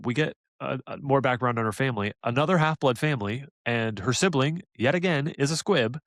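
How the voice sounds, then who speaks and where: A man co-hosting a casual podcast, animated and laughing often, trailing off into fillers. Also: mid-range voice, no room sound. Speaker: podcast co-host.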